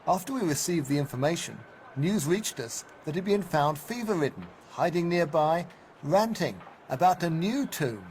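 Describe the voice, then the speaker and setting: An actor playing a businesslike newsman in a video game, explaining things in a measured way. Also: on the high side, animated, a little mellow, slight background noise, lightly acted, poor audio. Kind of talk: video game cutscene acting.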